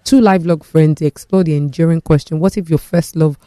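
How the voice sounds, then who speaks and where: A man hosting a casual studio talk show, reading viewer messages with lively, teasing questions. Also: fairly high pitch, brisk, no echo.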